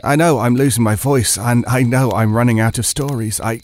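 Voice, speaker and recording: A man's voice: medium pitch, steady tone, moderate pace, a little mellow, close to an everyday voice. A man playing a frightened, weary camper telling his horror story in a hesitant, brooding, confessional way. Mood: weary dread. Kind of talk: first-person dramatic audio horror storytelling.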